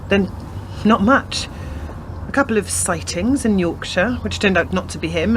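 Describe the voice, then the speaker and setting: A woman plays a young policewoman in a radio drama, persistent and inquisitive. Her delivery is clipped and matter-of-fact at a medium pitch, with slight background noise. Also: natural voice. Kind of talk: radio play acting.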